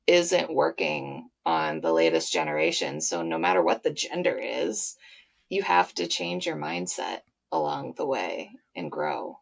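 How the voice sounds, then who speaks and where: A woman's voice: low-pitched, creaky. A woman hosting an interview, talking in a reflective, conversational way. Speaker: podcast host.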